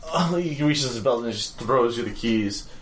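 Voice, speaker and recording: Halting male game master narrating. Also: medium pitch, lively intonation, over music, poor audio. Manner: halting, restarts often, laughs at own descriptions.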